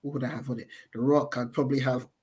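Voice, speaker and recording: A man's voice: medium pitch, steady tone, fast, darkish tone, breathy edge. A man in his thirties, a podcast guest chatting over a video call, thinking aloud and correcting himself as he goes. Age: 30s. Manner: thoughtful, hedging, self-correcting.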